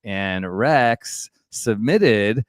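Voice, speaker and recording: Casting: male chat show host, lowish voice, dry close sound, enthusiastic and informal, tends to interrupt himself. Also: expressive, extremely slow, no echo.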